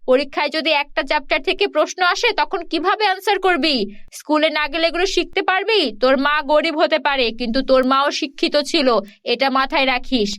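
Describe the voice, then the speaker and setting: A woman's voice: high-pitched, fast talker, fairly bright tone, no echo, clean studio sound. A woman playing a poor, proud village mother in a cartoon, firm and chiding but caring. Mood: scolding.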